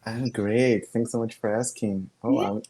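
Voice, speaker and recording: A man's voice: fairly low pitch, fairly even intonation, a little slow, warm tone, a little hiss or hum. A young Brazilian man whose native language is Portuguese, speaking English with a Brazilian accent on a video call; eager and friendly, with frequent restarts. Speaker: English learner and channel host. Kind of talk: casual conversation practice.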